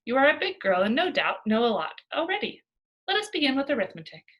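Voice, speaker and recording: A woman voicing a kindly, patient schoolteacher in a storybook, speaking gently and courteously. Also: high-pitched, sing-song, fast talker.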